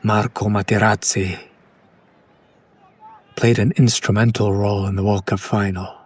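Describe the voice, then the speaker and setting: A man playing a condescending, smitten kidnapper in an ASMR roleplay, speaking in a hushed, breathy, lingering way. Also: fairly low pitch, level tone, measured pace. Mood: hushed, admiring. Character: condescending yandere male kidnapper, smitten with his captive. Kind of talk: whispered ASMR roleplay, slow soft recitation.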